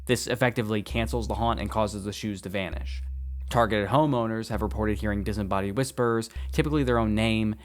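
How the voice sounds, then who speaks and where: A man's voice: fairly low pitch, fairly even intonation, brisk, lightly acted. An actor playing a nervous young male agent who stammers and hesitates through a report. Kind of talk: audio drama acting.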